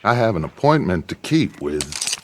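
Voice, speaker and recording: An actor playing a charismatic middle-aged American outlaw gang leader in a video game, speaking in theatrical, deliberate oratory. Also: male voice, low voice, very animated, background noise. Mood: mock gracious. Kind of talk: dramatic video game acting.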